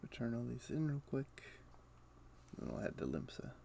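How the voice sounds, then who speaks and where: A man casually commentating live gameplay for viewers, halting and sometimes muttering to himself as he explains. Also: mid-range voice, very animated, vocal fry, mellow, a little hiss or hum. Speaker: game streamer.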